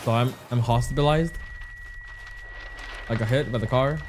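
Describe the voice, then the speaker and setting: A man's voice: medium pitch, moderate pace, background noise. A man from China streaming, chatting casually and reacting aloud as he plays. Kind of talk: casual livestream chat. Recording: stream with music behind.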